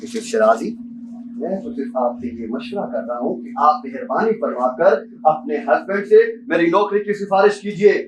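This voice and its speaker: A man's voice: on the high side, animated, normal speed, noisy background, close to an everyday voice. A student actor, a young man with a Pakistani accent, playing an insistent, politely menacing bank clerk in an amateur stage drama. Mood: pressing, veiled threat. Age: young adult. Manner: formal, insistent, repeatedly addressing the listener politely. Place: seminar hall stage. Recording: distant mic in a hall.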